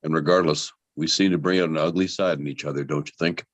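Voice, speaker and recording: Actor playing a deep-voiced, firm leading man. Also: steady tone, fast talker, no room sound, muffled recording. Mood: cool, firm. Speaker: voice actor in a table read. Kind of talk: dramatic script reading.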